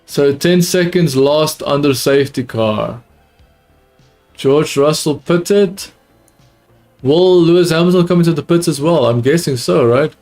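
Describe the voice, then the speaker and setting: A young man, not a native English speaker, with an accent close to British, reacting live to a race on stream in a casual, excitable way that often repeats itself. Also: fairly high pitch, unhurried, faint music behind. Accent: close to British, not fully. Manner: excitable, repeats himself, calls viewers dude. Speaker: livestream host watching a race.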